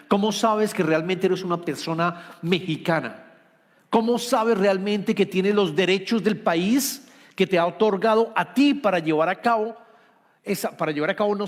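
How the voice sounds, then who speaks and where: A pastor preaching to his congregation with earnest conviction, hammering his points through repetition. His voice sits a little high for a man. The long reverb of a large church hall trails behind every phrase. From Colombia. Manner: earnest, rising to emphatic repetition. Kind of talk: sermon.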